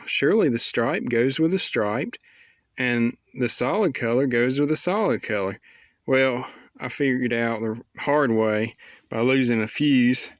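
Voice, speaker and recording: Casual male how-to vlogger, average pitch, plainspoken delivery, very little room sound. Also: a bit bright, no echo. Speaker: vlogger showing a DIY repair.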